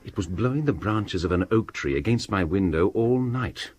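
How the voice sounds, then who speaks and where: An actor playing a rational, composed scholarly gentleman in a radio drama, speaking politely and formally. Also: lowish voice, lively intonation, moderate pace, a little hiss or hum, lightly acted. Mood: reasoning.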